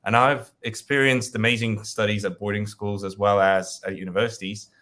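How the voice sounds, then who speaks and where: A man's voice: lowish voice, level tone, moderate pace, pushed and bright. A man from Russia, presenting his company in a webinar with frequent hesitations and fillers.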